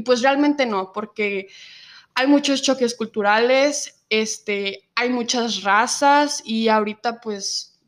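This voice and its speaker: Young mexican woman, 19, mexican accent, slow and thoughtful, lots of little pauses, kinda high voice. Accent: Mexican Spanish. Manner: reflective, many filler pauses. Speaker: interviewee. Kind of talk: personal testimony in an interview.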